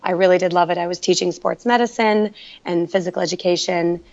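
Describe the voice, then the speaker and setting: A woman's voice: fairly low pitch, fairly even intonation, a little fast, a little mellow. A woman working as a teacher, telling her story earnestly in a podcast interview. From Canada. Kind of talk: conversational interview. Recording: dull lo-fi remote audio.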